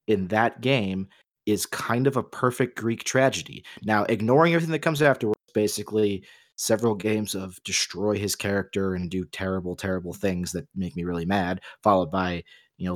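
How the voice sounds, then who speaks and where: A man's voice: fairly low pitch, no echo. A man co-hosting a podcast, talking casually but articulately as he argues his opinions with emphatic stress. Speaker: podcast co-host.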